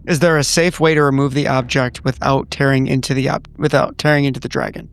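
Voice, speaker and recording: A man's voice: mid-range voice, fairly even intonation, fast, clear, resonant tone, faint music behind. A man taking part as a player in a tabletop roleplaying game, casually narrating what his character does.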